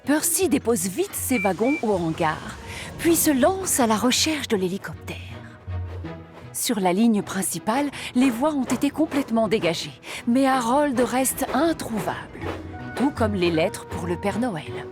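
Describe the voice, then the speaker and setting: Woman, fairly high pitch, scripted children's narration, cartoon storyteller, background music. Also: big pitch swings, a little slow. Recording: music behind the voice.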